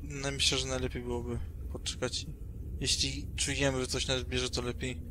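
Man playing a wary, halting military pilot. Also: mid-range voice, flat delivery, background noise, natural voice. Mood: wary, stubborn.

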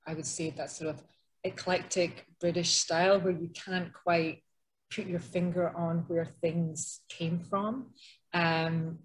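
Low husky Scottish woman presenting. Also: rough recording. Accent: Scottish, Edinburgh. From Scotland. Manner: conversational, frequent ums and restarts. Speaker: interior designer presenting a webinar. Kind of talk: webinar presentation. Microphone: video call.

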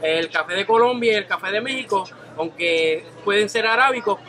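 A young man working as a barista, calmly explaining coffee in a small coffee shop. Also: sits high, normal speed, background noise. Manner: calm, explanatory, informative. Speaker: professional barista. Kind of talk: casual explanation.

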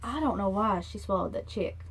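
A woman in her thirties reading a rhyming storybook aloud to children in an expressive, sing-song way, recorded in a room at about arm's length from the device. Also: mid-range voice, normal speed, husky, poor audio. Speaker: children's read-aloud host. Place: small indoor room.

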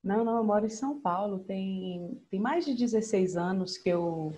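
A woman's voice: mid-range voice, normal speed, a loud crowd. A woman in her thirties, a nun from Espírito Santo with a mixed Minas Gerais and Bahia accent, chatting animatedly on a video call and adding "né?" to her phrases. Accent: mixed Brazilian, Minas Gerais and Bahia. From Espírito Santo, Brazil. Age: 30s. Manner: animated, laughs often, adds "né?" to phrases. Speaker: nun and singer, podcast guest. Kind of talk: casual conversation. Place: plain room, video call.

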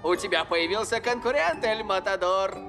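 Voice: medium pitch, animated, moderate pace, music in the background, lightly acted. An actor voicing an eager, naive and goofy young male footballer in a cartoon dub, with a cheeky manner. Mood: proud, teasing. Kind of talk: cartoon dubbing.

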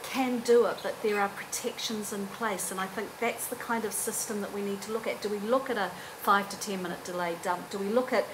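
A woman's voice: medium pitch, fairly even intonation, brisk, few pauses. A woman in her forties speaking directly and with firm opinions on a public panel. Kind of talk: panel discussion. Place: university lecture room. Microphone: table microphone. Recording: room with background hum.

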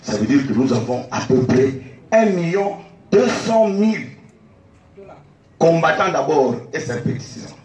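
A man's voice: on the high side, big pitch swings, measured pace, darkish tone. A man from the Democratic Republic of the Congo, giving argumentative debate answers with rhetorical questions on an outdoor tent stage. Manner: argumentative, rhetorical questions, repeats key points. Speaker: candidate for party president. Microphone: phone recording from the audience. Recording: echoey, distant phone recording.

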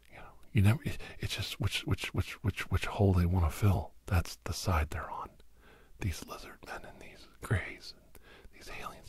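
A middle-aged man in a whispered ASMR podcast monologue, breathy and secretive, rambling haltingly and repeating words, close to the mic. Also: a little mellow. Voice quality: breathy whisper breaking into low murmur. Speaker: podcast host.

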